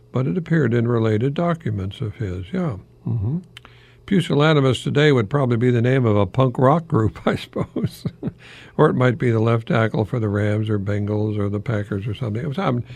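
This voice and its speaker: An older man with a gravelly, husky voice speaks in a close, dry sound. He delivers a folksy radio monologue like a retired English professor, musing aloud, hesitating, repeating himself and putting questions to the listener. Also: mid-range voice, no room sound.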